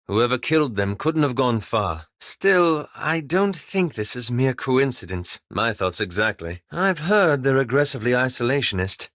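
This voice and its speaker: A man playing a reserved, scholarly, self-doubting young elf adventurer in a video game, speaking in a formal, measured, wryly understated way. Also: mid-range voice, very animated, fairly bright tone, dry recording, clean studio sound. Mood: wry, curious. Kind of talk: video game companion dialogue.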